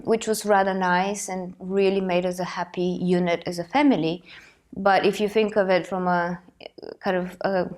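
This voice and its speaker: A woman's voice: fairly low pitch, darkish tone. Earnest woman host with a cold. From Bulgaria. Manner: earnest, reflective, thinking aloud. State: has a cold. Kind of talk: personal motivational talk to camera.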